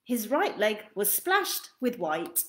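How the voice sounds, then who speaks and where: A woman's voice: medium pitch, big pitch swings, takes it slowly, fairly bright tone. A young woman narrating a children's picture book expressively, recorded in a small room at home. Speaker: children's storytime reader. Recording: roomy, echoey webcam sound.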